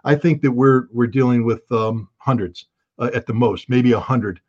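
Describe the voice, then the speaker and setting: A man's voice: mid-range voice, moderate pace, a little mellow, lo-fi sound. A man giving blunt, emphatic and scornful political commentary as an interview guest.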